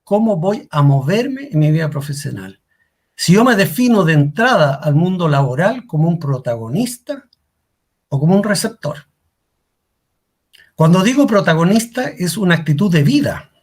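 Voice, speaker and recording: A man's voice: fairly high pitch, big pitch swings, moderate pace, breathy edge. A middle-aged man giving a career workshop talk as a coach, asking rhetorical questions and repeating key lines for emphasis. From Chile.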